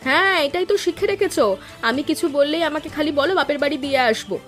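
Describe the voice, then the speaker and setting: A woman voices a village wife and mother in a cartoon, high in pitch and fast-talking. She is fretful, nagging and dramatic, with music underneath. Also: fairly bright tone. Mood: scolding. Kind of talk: Bengali cartoon voice acting.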